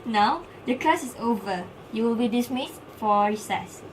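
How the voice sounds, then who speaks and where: A Malaysian woman plays a teacher with a fairly high voice. She explains and questions in an encouraging manner. There is some background noise on the amateur recording. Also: expressive, a little slow, poor audio. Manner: encouraging, frequently praising and prompting. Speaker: teacher in a student-made classroom skit. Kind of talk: acted classroom lesson, explaining and questioning.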